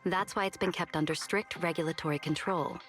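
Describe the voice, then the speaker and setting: A woman plays an elegant, composed female official in a video game. Her voice sits a little low, silky and sultry with a slight rasp, and she speaks in a measured, teasing way. Faint music plays behind her. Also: fairly even intonation, fairly bright tone, close to an everyday voice. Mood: composed, serious.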